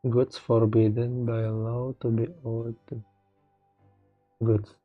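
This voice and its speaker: A woman's voice: rumbling low, level tone, takes it slowly, soft background music. A woman with an Indonesian accent giving casual commentary and translation as she streams a game. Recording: echoey room, dull lo-fi streaming audio.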